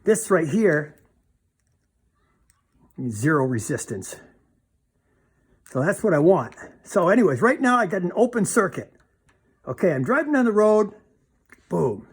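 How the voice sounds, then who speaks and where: A man's voice: sits high, very animated, measured pace, long pauses, slightly rough. A man giving a casual, plainspoken repair demonstration, thinking aloud informally as he handles parts. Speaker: DIY car repair demonstrator.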